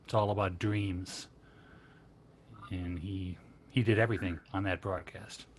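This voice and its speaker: A man's voice: sits low, fairly even intonation, a little slow, slight background noise. An American man moderating a panel, casually chatting and reading out audience questions.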